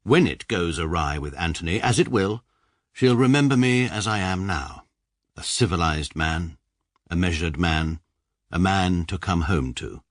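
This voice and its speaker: An actor playing a short, pompous, detached middle-aged barrister who narrates his own troubles in a measured, sarcastic manner for a radio comedy drama. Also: low-pitched, expressive, no room sound, studio quality. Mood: dry.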